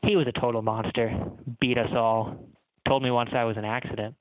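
A man's voice: medium pitch, fairly even intonation, a little fast, dry recording, phone-quality sound, lightly acted. A man voicing a tiny, drunk pianist, wounded and self-pitying, given to emotional outbursts, in a comic story. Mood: confiding, bitter.

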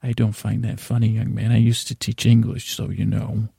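Man doing a prim old lady teacher, creaky voice. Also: fairly low pitch, flat delivery, normal speed, mellow, dry recording, clean studio sound, heavily acted. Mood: prim disapproval. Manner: interrupting, correcting, addresses host as "young man". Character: prim elderly woman, scolding retired English teacher.

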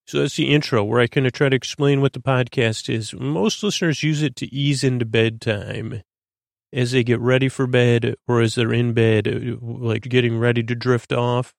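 Male podcast host for bedtime listening: creaky, close dry sound, lulling, meandering and halting. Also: mid-range voice, steady tone, no echo. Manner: meandering, stammering, trails into tangents; soothing, lulling delivery. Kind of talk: rambling bedtime monologue.

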